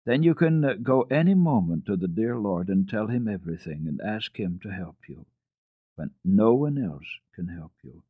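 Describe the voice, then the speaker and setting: Kind old storybook grandmother, played by a male reader: low, husky, patient, gently coaxing. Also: expressive, moderate pace, no room sound, narrow, tinny audio. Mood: reassuring.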